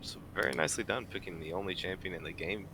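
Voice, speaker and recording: A man's voice: sits low, quick pace. A man bantering casually as a podcast panelist, teasing the others. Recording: clear background noise.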